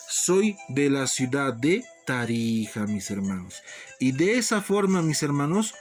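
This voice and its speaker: A man teaching an Aymara lesson, repeating each phrase slowly and calling his students brothers and sisters. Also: fairly high pitch. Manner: slow, deliberate repetition of phrases. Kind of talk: online language lesson.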